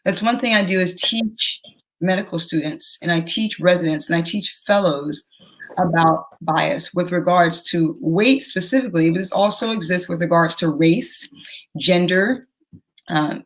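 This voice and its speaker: A woman's voice: on the low side, rough recording. A young woman physician giving careful, educational answers as an interview guest. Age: young adult. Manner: measured, educational, careful explaining.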